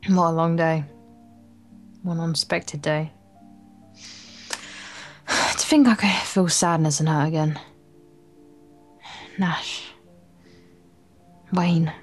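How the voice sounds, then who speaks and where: A bitter, brooding schoolgirl from a visual novel , read by a woman, guarded and lonely. The voice sits slightly low, with soft music behind it. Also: slow, pauses often. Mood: brooding, weary. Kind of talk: visual novel inner monologue read aloud.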